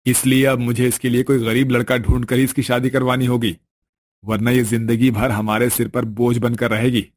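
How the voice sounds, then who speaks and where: A man acts out a bitter, contemptuous elder brother from a moral family story. He scolds in a mellow, middling voice, recorded dry and close. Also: medium pitch, little pitch movement, moderate pace, dry recording, close to an everyday voice. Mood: scornful, angry. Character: bitter, scornful elder brother, doting only on favoured sister.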